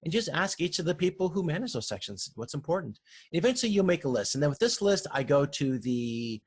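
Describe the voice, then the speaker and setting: A man lecturing informally over slides, explaining in a halting way and often correcting himself. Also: a little high, very animated, slightly rough. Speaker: university lecturer.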